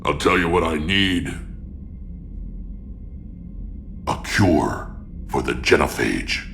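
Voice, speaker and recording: A man voicing a proud, battle-hardened alien clan chief, gruff, blunt and gravelly, in a video game. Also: extremely low pitch, big pitch swings, unhurried, fairly bright tone, a little hiss or hum, heavily acted. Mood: gruff, defiant. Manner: blunt, combative, growling. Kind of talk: cinematic video game acting.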